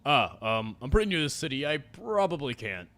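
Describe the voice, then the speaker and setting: A man's voice: a little high, very animated, fairly quick, fairly bright tone, voice pushed up. A man voicing a nervous young woman who stammers when she speaks. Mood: frightened. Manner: stammering, shaky.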